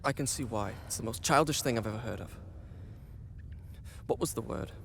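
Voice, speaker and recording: A man's voice: mid-range voice, normal speed, creaky, a bit bright, slight background noise. A man voicing a proud, anxious young prince in earnest, dramatic video game cutscene acting. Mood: teasing, skeptical. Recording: music behind the voice.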